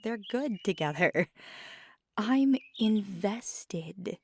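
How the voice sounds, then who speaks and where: A woman acts as a feisty young magical girlfriend in an intimate ASMR roleplay. She speaks slowly and casually, affectionate and teasing, often sinking into a breathy murmur. Birdsong and outdoor noise sit clearly behind her voice. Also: medium pitch, expressive, darkish tone. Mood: playful, animated. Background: birdsong and outdoor ambience.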